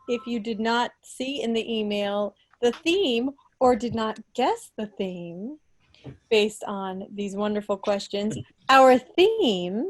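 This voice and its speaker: An American woman in her thirties hosting a quiz over a home video call, casual and playful like a mock schoolteacher. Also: mid-range voice. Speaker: meeting host, quizmaster. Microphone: computer mic on a video call.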